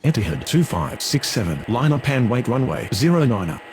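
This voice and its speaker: A man's voice: mid-range voice, very animated, brisk, a little mellow, slight background noise. A man voicing a businesslike, formal pilot and controller in a game, using clipped radio phraseology.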